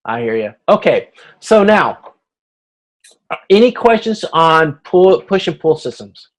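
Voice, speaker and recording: A man's voice: fairly high pitch, sing-song, a little slow. A man teaching an informal online class, explaining step by step in a hesitant way and checking for understanding. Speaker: instructor. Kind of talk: informal video-conference lecture explaining slides.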